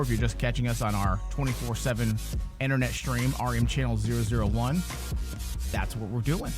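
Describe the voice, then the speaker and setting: Male podcast host, medium pitch, casual discussion style, clear music in the background.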